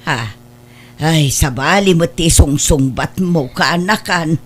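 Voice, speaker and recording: A woman's voice: sits low, slow pace. A woman playing a frank older aunt who counsels and admonishes her niece in a family radio drama. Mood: admonishing. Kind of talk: Ilocano radio-style family drama acting.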